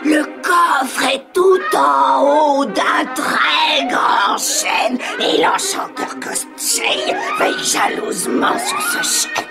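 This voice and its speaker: A gleeful, vengeful old forest witch from a fairy-tale cartoon. She draws her words out theatrically and swings wildly in pitch, with loud music behind her. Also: wildly swooping pitch, drawn-out words, creaky, full character voice. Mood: conspiratorial, dramatic. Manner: theatrical, exclamatory, swooping delivery.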